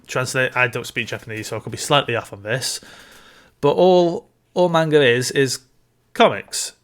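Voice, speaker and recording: Bright, forceful man discussing films. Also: on the high side. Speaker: podcast co-host. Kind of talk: casual film discussion.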